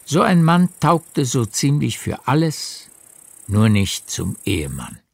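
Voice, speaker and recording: A man narrating a literary radio drama with measured, deliberate phrasing. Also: medium pitch, wildly swooping pitch, creaky, darkish tone, a little hiss or hum.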